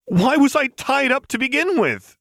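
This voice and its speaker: An intense, fragile young woman, played by a male narrator, lifted far above his natural pitch. Her delivery is breathy and full of feeling, fiercely devoted. It is a dramatic storybook reading with a dry sound. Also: big pitch swings, normal speed, a bit bright, no room sound, full character voice. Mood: angry, alarmed.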